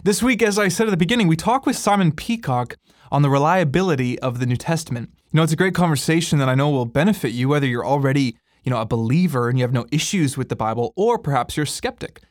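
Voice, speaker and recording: A man's voice: fairly high pitch, animated, fast talker, no room sound, studio quality. A man who hosts a podcast, talking in an upbeat, chatty way and laughing easily. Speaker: podcast host. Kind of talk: casual interview. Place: radio studio.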